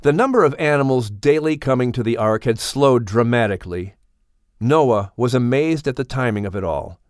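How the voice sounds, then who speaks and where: A man narrating an audiobook story in a solemn, gentle storytelling manner. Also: medium pitch, expressive, normal speed, smooth, no echo, studio quality.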